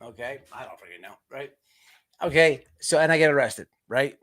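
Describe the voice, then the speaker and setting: A man hosting an online show with off-the-cuff livestream commentary, halting as he repeats words and restarts. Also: mid-range voice, lively intonation, a bit bright.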